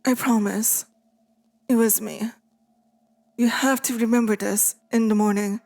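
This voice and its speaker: Slow, possessive demon woman acted by a woman. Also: a little high, steady tone, creaky, a little mellow, soft background music. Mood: startled, unsettled. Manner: slow, teasing, menacing murmur to the listener. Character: possessive, taunting female sleep paralysis demon. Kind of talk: intimate ASMR roleplay, scripted.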